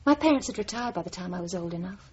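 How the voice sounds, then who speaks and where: An actress playing a composed, wry young dancer in a radio drama, with a cool, reserved way of talking. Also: female voice, on the low side, expressive, racing, a little mellow, close to an everyday voice. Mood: wry, reminiscing. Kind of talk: naturalistic radio drama acting. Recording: dull, noisy old radio broadcast.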